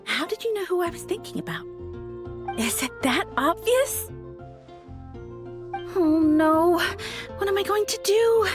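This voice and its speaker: A very high, girlish voice a lovestruck flower shop girl in a video game. She is dreamy and easily flustered, lingering over her words, with music clearly behind her. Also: lively intonation, measured pace, long pauses. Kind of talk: English video game voice acting.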